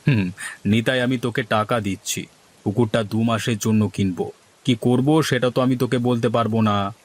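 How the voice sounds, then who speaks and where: Male actor, cartoon villager, scheming or fretful, theatrical delivery, middle-pitched. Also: fairly even intonation, normal speed, lightly acted. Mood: assertive. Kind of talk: dramatised cartoon dialogue.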